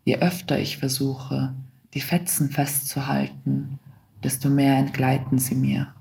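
A man slowly reading a reflective first-person text. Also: medium pitch, completely flat delivery, vocal fry, a little mellow, poor audio.

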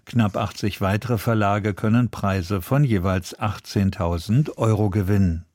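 Low-pitched male news reader. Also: fairly even intonation, a little slow, heavy background noise. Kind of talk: scripted news and weather reading.

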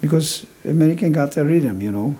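An 88-year-old man with a Czech accent, talking casually in halting, broken English and repeating words as a musician being interviewed. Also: fairly high pitch, expressive, warm tone, husky.

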